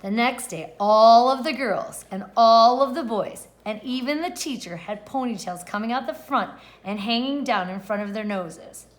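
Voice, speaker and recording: A woman's voice: fairly high pitch, moderate pace, few pauses. A woman kindergarten teacher reading a picture book aloud expressively and putting questions to the children. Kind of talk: read-aloud lesson for young children.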